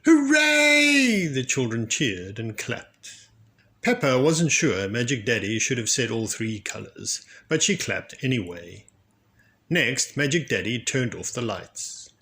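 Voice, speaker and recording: A man reads a children's storybook aloud in an expressive way. His delivery is slow and deliberate, and his pitch moves in lively, animated swings. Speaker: storybook reader, children's read-aloud channel. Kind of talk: expressive scripted storybook narration.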